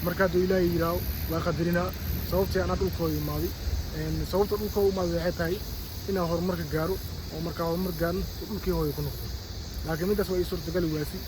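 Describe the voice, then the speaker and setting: A man from Somalia with a Somali accent, talking off the cuff in a halting, repetitive way as a vlogger. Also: high voice, steady tone, noisy background. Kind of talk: unscripted vlog talk.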